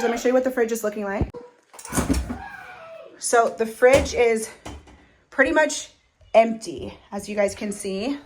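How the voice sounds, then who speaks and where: A young woman vlogging casually in her home kitchen, chatty and upbeat with a slightly hoarse voice. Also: fairly high pitch, a little hiss or hum. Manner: chatty, upbeat, lots of fillers. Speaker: family vlogger. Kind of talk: casual chat to camera.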